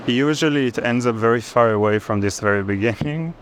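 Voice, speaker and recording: A man's voice: mid-range voice, fairly quick. A man in his thirties with an Israeli accent, an artist thoughtfully explaining his work in an interview, often searching for words. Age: 30s. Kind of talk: reflective explanation of own artwork. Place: busy art fair. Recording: clear urban background noise at fair.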